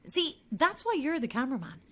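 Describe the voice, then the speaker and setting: A woman's voice: sits high, very animated, fairly quick, fairly bright tone. A woman acting a sceptical, sardonic Irish journalist in an audio drama, blunt and challenging. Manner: challenging, dry.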